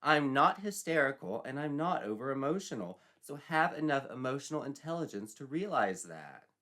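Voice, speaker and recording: Man putting on an earnest, high-pitched teenage girl. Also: sing-song, moderate pace, fairly bright tone. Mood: indignant. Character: earnest, assertive teenage girl, breathlessly prim.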